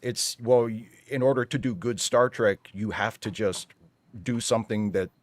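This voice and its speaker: A man's voice: fairly low pitch, moderate pace, no echo. A man giving opinions in a casual panel discussion, emphatic and often rephrasing as he argues. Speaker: panel guest on a live fan show.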